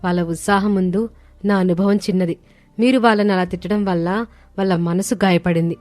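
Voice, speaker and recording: A woman voicing a gentle, selfless eldest daughter-in-law, respectful and traditional, with a polite, pleading manner. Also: medium pitch, fairly even intonation, brisk, dry recording, natural voice. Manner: polite, soft-hearted, pleading. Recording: studio voice over background music.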